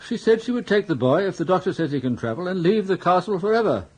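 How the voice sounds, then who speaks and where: An actor playing a dithery, indignant elderly English earl with an upper-class accent in a radio comedy drama, vague and fussy, prone to babbling. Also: male voice, high voice, very animated, quick pace, rough recording. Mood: aggrieved, flustered.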